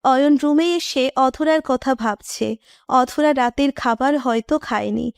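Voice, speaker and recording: A woman's voice: sits high, measured pace, creaky, clean tone, dry recording, studio quality. A woman reading scripted narration of a romantic story.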